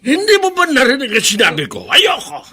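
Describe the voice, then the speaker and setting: A man's voice: very high-pitched, huge pitch swings, a little fast, sharp tone, heavily acted. An actor playing a stubborn, bitter old Filipino grandfather in a radio drama, grumbling and querulous. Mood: irritated. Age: older. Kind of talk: Tagalog radio drama acting.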